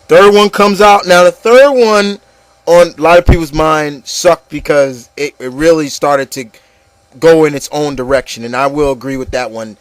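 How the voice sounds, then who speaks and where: A man's voice: a little high, normal speed, dry recording. A man hosting an opinion show, chatting casually and informally to his listeners and co-hosts. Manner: informal, conversational, addressing listeners as guys. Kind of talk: casual opinion chat about movies.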